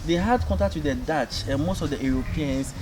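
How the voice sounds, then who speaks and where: A man with a Ghanaian accent hosting a casual on-camera chat, energetic and loud as he quizzes his guest. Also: fairly high pitch, brisk, low-quality recording. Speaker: show host.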